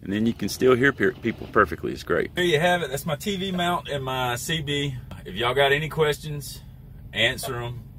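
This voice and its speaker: A man's voice: mid-range voice, lively intonation, moderate pace, fairly bright tone. A middle-aged American man, a truck driver, giving a casual, plain-spoken how-to while recording inside his truck cab. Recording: background noise in truck cab.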